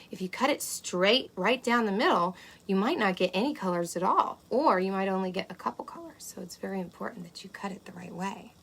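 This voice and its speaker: A woman playing an excitable, gentle unicorn who presents a children's cooking show, talking to young kids with wonder and breathy asides. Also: mid-range voice. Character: excitable, gentle, impatient female unicorn hosting kids' show. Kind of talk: children's cooking demonstration to camera. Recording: clear steady background noise.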